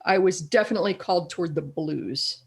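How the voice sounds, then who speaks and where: A middle-aged woman with a deeper, raspy voice, a guest in a casual interview who tells animated stories and laughs often. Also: a little fast. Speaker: guest, copywriter.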